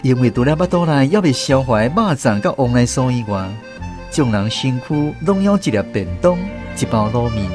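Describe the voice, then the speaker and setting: A man's voice: mid-range voice, animated, normal speed, music in the background, lightly acted. A man with a Taiwanese accent narrating a radio drama as a reminiscing storyteller. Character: reminiscing male storyteller of a touring troupe.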